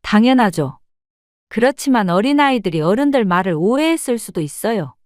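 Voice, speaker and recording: A woman's voice: a little high, brisk, no echo, studio quality. A woman playing a sympathetic neighbor lady. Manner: warmly sympathetic, expressive. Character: kindly middle-aged neighbor woman, sympathetic.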